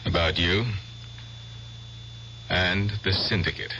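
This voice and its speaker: Earnest doctor, deep forceful voice, measured and probing, polite, radio drama, muffled old recording. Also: male voice, full character voice. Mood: grave, probing. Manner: hesitant, formal, careful. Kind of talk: 1970s radio drama acting. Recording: dull, faintly noisy old radio recording.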